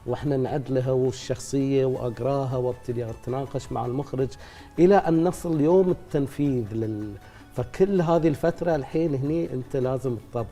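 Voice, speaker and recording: A middle-aged man, an actor being interviewed, speaking reflectively at length with pauses. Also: mid-range voice, dark tone, faint music behind. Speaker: actor guest. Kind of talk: casual interview talk.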